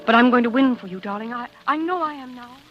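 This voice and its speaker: An actress playing a determined young wife who coyly poses as a sweetheart in a 1940s radio drama, hesitant and coaxing. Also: female voice, on the high side, fast talker, lightly acted. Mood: fervent, secretive. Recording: dull vintage radio recording with music.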